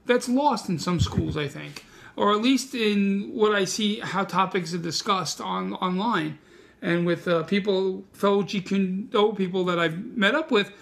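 A man's voice: high voice, measured pace, gravelly, fairly bright tone. A man with a Brooklyn accent, co-hosting a casual martial arts podcast, loose and self-deprecating with his jokes. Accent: New York. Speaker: co-host, martial arts teacher.

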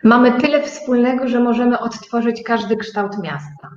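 Kobieta z pogłosem, przytłumione łącze. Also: female voice, on the high side, fairly even intonation, moderate pace, a little mellow, a little hiss or hum. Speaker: guest, co-owner of a small design startup. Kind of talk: conversational interview answers. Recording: echoey, muffled remote connection.

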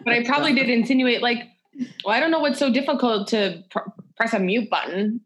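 Higher-pitched woman cohost, dry teasing tone, thinks out loud. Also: brisk, low-quality recording. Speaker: podcast co-host. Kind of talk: casual chat.

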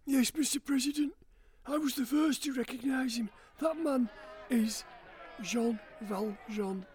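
An actor voicing an old male ex-convict giving halting, quavering testimony. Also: extremely high pitch, darkish tone, voice pushed up. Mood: insistent. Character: old male ex-convict witness, shaky and insistent.

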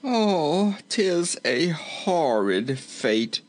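A man's voice: high-pitched, expressive, takes it slowly, voice pushed up, full character voice. A man voicing a comical, cowardly, self-pitying but tender-hearted king in a storybook, moaning melodramatically. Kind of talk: storybook character voice.

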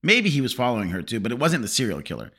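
A man's voice: mid-range voice, huge pitch swings, very fast, pushed and bright, breathy edge. A man co-hosting a casual film podcast, rambling with many fillers. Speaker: podcast co-host.

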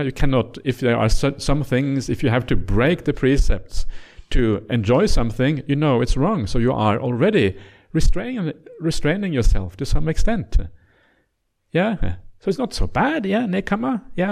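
Man, native Norwegian speaker, monk teaching a retreat, gravelly voice, middle pitch, gentle and teasing, lots of uhs and yeahs. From Norway. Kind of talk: dharma talk.

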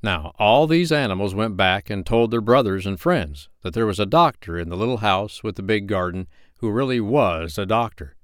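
A man narrating a children's bedtime story as an audiobook reader, in an expressive, measured storytelling manner. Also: on the low side, smooth, no echo, studio quality.

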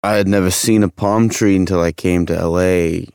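Low, gravelly haunted fallen heartthrob. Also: male voice, fairly even intonation, moderate pace, darkish tone, no room sound, clean studio sound. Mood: wistful, bitter. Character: murdered 1950s Hollywood heartthrob, haunted, bitter, wistful ghost. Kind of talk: audio drama monologue and dialogue.

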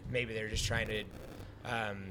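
A man's voice: fairly low pitch, little pitch movement, unhurried, noisy background. A man being interviewed casually as a brewer, explaining his trade in a reflective, wordy and hedging way. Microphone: podcast microphone.

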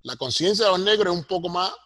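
A middle-aged or older man with a Puerto Rican Spanish accent and a husky voice, explaining things like a professor in an interview and punctuating his points with tag questions. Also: high-pitched, lively intonation, quick pace, fairly bright tone, no room sound, clean studio sound. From Puerto Rico. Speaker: sociology professor, interview guest.

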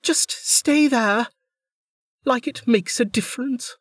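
A woman's voice: on the high side, slow, breathy edge, no room sound, clean studio sound, voice pushed up. A narrator voicing a blunt, prickly injured young woman who answers in curt grunts.

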